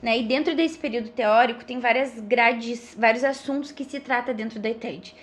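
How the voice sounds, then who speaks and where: A thirty-year-old Brazilian woman explaining things earnestly as an interview guest, pausing often and checking in with tag questions. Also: a little high. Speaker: podcast guest, missionary.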